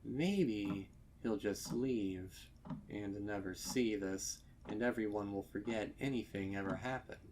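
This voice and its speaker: A man reading a dark story as scripted dramatic narration, measured and with deliberate pauses. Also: fairly low pitch, a little hiss or hum. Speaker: fan fiction narrator.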